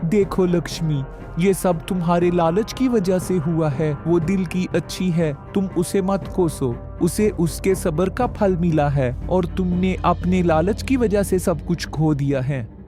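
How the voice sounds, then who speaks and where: A man's voice: high voice, measured pace. A man playing an earnest, sensible, admonishing husband in a cartoon moral story. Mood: scolding. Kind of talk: Hindi cartoon story acting. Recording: music behind the voice.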